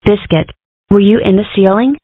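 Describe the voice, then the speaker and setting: A woman voicing a weary, dry-witted female rabbit in charge, deadpan and sardonic, in a cartoon comic dub. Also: mid-range voice, normal speed, slightly rough, no echo, narrow, tinny audio, lightly acted. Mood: exasperated. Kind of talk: comic dub character acting.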